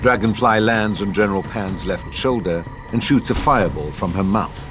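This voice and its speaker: A man delivers scripted narration and stage directions for an audio drama. His voice is on the low side. Music is clearly heard behind him as he reads. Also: moderate pace.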